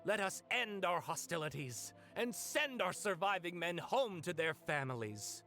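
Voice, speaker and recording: High-voiced defeated general. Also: male voice, expressive, measured pace, pushed and bright, heavily acted. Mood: pleading, resigned. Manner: formal, declamatory. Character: defeated army general, pleading and dignified. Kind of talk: stage-play acting in a video game. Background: background music.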